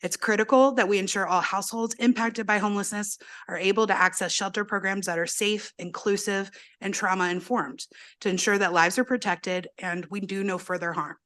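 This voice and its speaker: Brief: hoarse woman witness, earnest, straining to speak up in a hearing room. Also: medium pitch, steady tone, brisk, a bit bright. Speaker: advocate witness. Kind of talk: formal testimony. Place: legislative committee hearing room.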